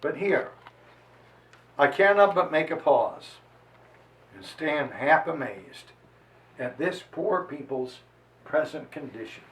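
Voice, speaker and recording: Man, high pitch, declamatory preacher-like delivery, dramatic pauses, solemn historical reading, roomy echo. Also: animated. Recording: roomy echo, distant microphone.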